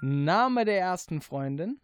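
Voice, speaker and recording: A woman hosting a casual radio interview with quick-fire questions, giggling readily. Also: fairly low pitch, expressive. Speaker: radio host.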